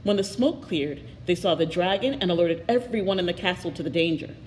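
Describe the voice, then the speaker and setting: Woman, expressive read-aloud narration, lively intonation, long room reverb, faint background noise. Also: on the low side, fairly quick. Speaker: storybook reader. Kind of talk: expressive picture-book read-aloud with asides.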